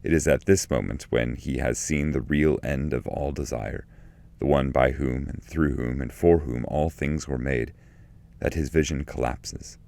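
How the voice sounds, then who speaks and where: A man reading scripted literary commentary and poetry in a measured, solemn, deliberate way. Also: rumbling low, steady tone, vocal fry, a little mellow, no echo. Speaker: narrator and translator reading his edition.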